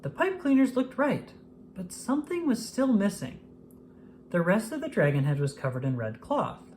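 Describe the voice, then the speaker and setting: A woman narrating a children's picture book aloud in an expressive manner, paced for young listeners. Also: mid-range voice, moderate pace, a little hiss or hum. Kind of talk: scripted storybook narration.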